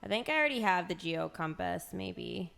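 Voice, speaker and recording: A woman game streamer giving casual, unhurried commentary, thinking aloud as she plays. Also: on the low side, expressive, vocal fry, a bit bright.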